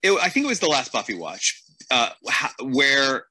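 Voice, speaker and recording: A man's voice: mid-range voice, very animated, moderate pace, pushed and bright, slightly rough. A man in casual podcast chat, telling stories and acting out reactions as he goes. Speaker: podcast host.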